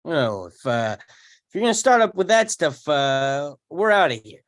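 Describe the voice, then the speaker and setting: A blunt young sitcom buddy with an eye for women, acted by a man. His pitch moves up and down in a very lively way, and the recording is dry. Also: unhurried, no room sound. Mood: casual. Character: blunt, girl-chasing young man among his buddies. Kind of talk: sitcom script table read.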